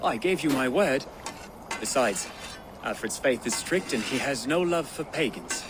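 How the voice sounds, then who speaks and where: An actor playing a sincere convert to Norse ways in a video game, measured and dry. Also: male voice, mid-range voice, lively intonation, noisy background, natural voice. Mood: calm, dry. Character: devout-raised young convert to Norse ways, dryly sincere.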